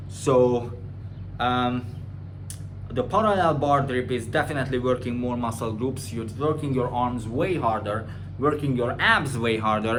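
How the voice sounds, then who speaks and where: A man with a Hungarian accent talks through viewer questions as a personal trainer. He is blunt and opinionated, jumping up in pitch and volume when he rants, then settling into a quieter, slower explaining tone. His voice sits in the middle range, with a faint hum of background noise. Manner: blunt and opinionated, rising loud and high when ranting. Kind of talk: casual Q&A monologue.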